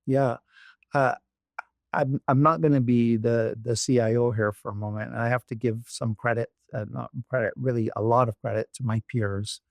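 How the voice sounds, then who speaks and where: A man's voice: mid-range voice, fairly even intonation, normal speed, gravelly, no room sound, studio quality. A man from Barbados, a company executive, speaking as an interview guest in a thoughtful way with many fillers and restarts. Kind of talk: interview conversation.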